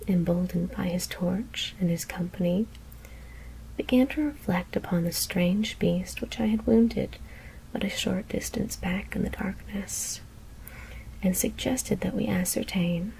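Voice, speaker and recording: Slow whispering woman reading horror. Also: mid-range voice, vocal fry, slight background noise, low-quality recording. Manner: hushed, deliberate, mostly whispering. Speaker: narrator of ASMR scary stories.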